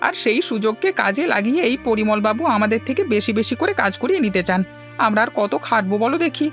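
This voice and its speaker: A woman's voice: a little high, expressive, fast, faint music behind, lightly acted. A woman voicing an aggrieved, hard-worked village labourer man in a cartoon, complaining indignantly. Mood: weary complaint. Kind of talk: cartoon dialogue.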